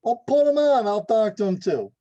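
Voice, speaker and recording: A man's voice: high voice, expressive, moderate pace, mellow, lo-fi sound. A man playing a brash, jovial young businessman on the telephone, in 1940s radio drama style. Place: indoors, recorded over a video call.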